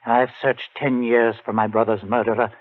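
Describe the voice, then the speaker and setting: A man's voice: mid-range voice, level tone, moderate pace, close to an everyday voice. A man acting in a 1940s radio drama as a grim, determined avenger, speaking in an earnest, insistent, dramatic way. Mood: grave, determined. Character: grim determined man hunting his brother's murderer. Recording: tinny, muffled 1940s radio recording.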